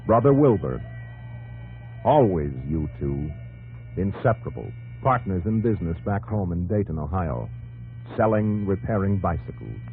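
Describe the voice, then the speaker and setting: An American actor playing a solemn, probing narrator in dramatic 1950s radio style, addressing the hero directly. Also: male voice, low-pitched, sing-song, moderate pace. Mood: solemn, admiring. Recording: muffled old radio broadcast, music underneath.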